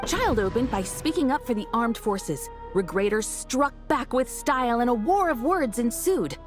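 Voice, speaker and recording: A woman voicing a brash, cheeky tabloid street reporter in a video game, with an excitable, theatrical salesy delivery. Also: fairly high pitch, moderate pace, music in the background, close to an everyday voice. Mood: excited, dramatic. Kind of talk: video game character acting.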